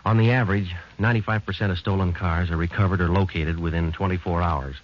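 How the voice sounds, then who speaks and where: Hard-boiled detective narrator, deep and clipped, old radio sound. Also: male voice, fast. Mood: flat. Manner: flat, clipped, matter-of-fact delivery. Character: terse male police sergeant narrating his case. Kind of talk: 1940s radio drama acting. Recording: muffled, hissy old radio broadcast.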